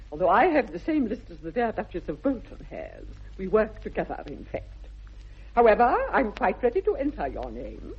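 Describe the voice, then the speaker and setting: Casting: snobbish, middle-aged English grande dame, upper-class accent, declamatory, husky voice, vintage radio comedy. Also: female voice, medium pitch. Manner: haughty, pompous, declamatory. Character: imperious, snobbish aristocratic mother interrogating a suitor. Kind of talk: 1960s BBC radio comedy acting. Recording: dull old radio recording.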